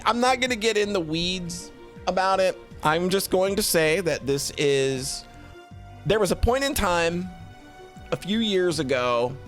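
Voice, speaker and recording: A man giving casual, unscripted commentary as a streamer, halting often and repeating or correcting words as they go. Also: a little high, a bit bright. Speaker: streamer reviewing visual novels.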